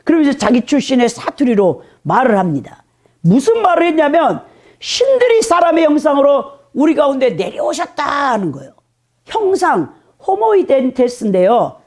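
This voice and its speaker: A woman's voice: high voice, very animated, moderate pace, darkish tone. A middle-aged woman pastor with a Jeolla accent, delivering an emphatic sermon and often turning to address the congregation directly. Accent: Jeolla Korean. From Jeolla, South Korea.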